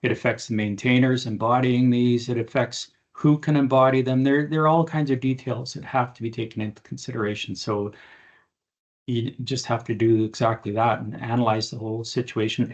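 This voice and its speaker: A man speaking as an expert on an aviation podcast, explaining things conversationally and haltingly with informal examples. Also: mid-range voice, muffled recording.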